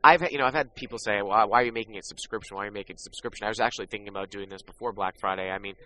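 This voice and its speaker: A man's voice: on the low side, rapid-fire, sharp tone, low-quality recording. A man who coaches poker and hosts a podcast, casually promoting his training products. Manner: plugging his products, confident.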